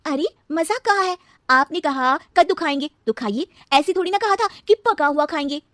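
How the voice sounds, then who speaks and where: A woman voicing a sharp-witted young bride in a cartoon, exasperated and emphatic. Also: high voice, lively intonation, moderate pace, no room sound, studio quality. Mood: sly retort. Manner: emphatic, incredulous questioning. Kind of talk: animated cartoon dialogue.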